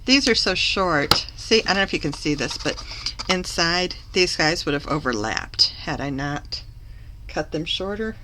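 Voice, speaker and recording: Slow, chatty woman with a lingering cough. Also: fairly low pitch, expressive, very noisy. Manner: chatty, self-correcting, talks while working. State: recovering from a cough, slightly hoarse. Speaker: papercraft demonstrator. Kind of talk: live craft tutorial.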